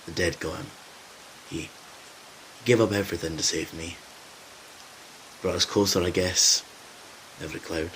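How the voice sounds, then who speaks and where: A man playing a gruff, loyal zombie apocalypse survivor in an audio drama, talking informally and hesitantly with some swearing. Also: deep voice, steady tone, moderate pace, long pauses, a bit bright, slight background noise, low-quality recording. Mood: subdued, reflective.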